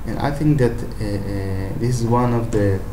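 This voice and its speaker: Slow, halting Israeli man with echo. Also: on the low side. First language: Hebrew. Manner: hesitant, many "uh" fillers and restarts. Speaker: language-learning video maker. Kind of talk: unscripted advice talk to camera. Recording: echoey room with faint noise.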